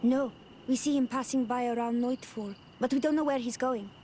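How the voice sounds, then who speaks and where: A woman playing a gentle, thoughtful young village daughter in a video game, speaking simply and earnestly. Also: on the high side, normal speed, slight background noise, lightly acted. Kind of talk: video game dialogue acting.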